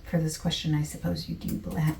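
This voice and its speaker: A woman playing a friendly, easygoing survey taker in a softspoken, partly whispered ASMR roleplay, with gentle, chatty asides. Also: deep voice, little pitch movement, brisk, echoey room, recorded from a distance, a little hiss or hum. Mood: gentle, casual. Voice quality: soft, breathy, partly whispered.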